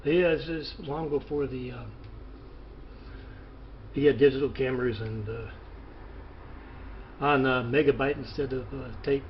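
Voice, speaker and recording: A man rambling haltingly through a phone interview, with hesitant pauses and a muffled, noisy recording. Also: medium pitch, fairly even intonation. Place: phone call.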